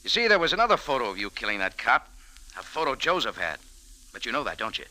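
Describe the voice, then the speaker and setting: A hard-edged, forceful American tough guy of vintage radio mystery. He talks in clipped, sardonic lines that swing widely in pitch. The recording has an old sizzly radio sound. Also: sing-song, fast, poor audio. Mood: cool, taunting. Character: tough, wisecracking American café owner turned adventurer. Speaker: lead actor and narrator. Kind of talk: old-time radio detective acting and narration.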